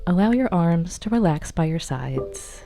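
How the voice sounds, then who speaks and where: A woman narrating a guided meditation in a calm, measured, instructional manner. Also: fairly low pitch. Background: meditation music.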